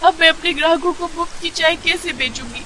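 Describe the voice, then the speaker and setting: A woman's voice: extremely high pitch, fairly quick, very noisy, pitched above the performer's own voice. A woman voicing a cheeky, enterprising village wife who sells tea, with a theatrical way of talking, in a bedtime story. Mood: distressed. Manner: sassy, exclaiming, theatrical. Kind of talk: acted dialogue in a bedtime story.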